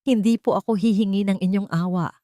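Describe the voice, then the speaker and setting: A woman's voice: medium pitch, quick pace, mellow, no echo, studio quality, close to an everyday voice. A woman voicing a wronged young mother-to-be in a drama, earnest, vulnerable yet steadfast. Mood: resolute.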